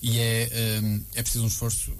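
A man's voice: medium pitch, monotone, a little fast. A man with a European Portuguese accent, interviewing guests in a conversational way on sports radio. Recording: clear background noise and hum.

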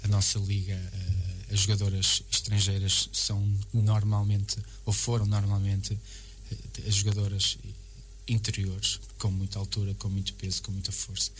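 A man with a European Portuguese accent giving a casual interview as a coach, haltingly and often repeating words and phrases. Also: low-pitched, monotone. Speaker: basketball coach, interview guest. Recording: clear background noise and hum.

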